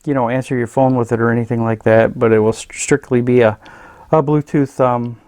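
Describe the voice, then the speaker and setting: A man reviewing a gadget in a casual, unscripted way, with a hesitant, halting and rambling manner. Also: mid-range voice, fairly even intonation, a little mellow, clean tone, dry recording. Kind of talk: casual unscripted product demonstration.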